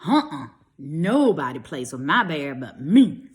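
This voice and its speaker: A woman's voice: low voice, very animated, unhurried, pitched below the performer's own voice. A woman voicing a young storybook boy who is possessive and anxious about his teddy bear, speaking in emphatic, pleading protest. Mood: defiant.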